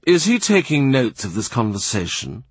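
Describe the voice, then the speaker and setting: A man plays a cold, smooth diplomat who picks each word with care and pauses with discretion. The voice is husky and close to the reader's own. The pitch rises and falls freely and the sound is dry. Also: big pitch swings, no echo. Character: cool, smooth, evasive male diplomat.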